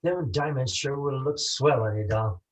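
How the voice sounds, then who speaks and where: Man acting noir radio crook, slangy tough-guy talk, mid-pitched voice, lovestruck, resigned. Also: moderate pace, close to an everyday voice. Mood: fond, resigned. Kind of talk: 1940s noir radio acting, live community theatre.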